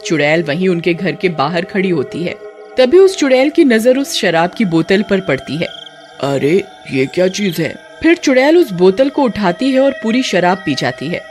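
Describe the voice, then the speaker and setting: A woman's voice: medium pitch, very animated, moderate pace, darkish tone, soft background music. A woman narrator telling a scripted children's cartoon story.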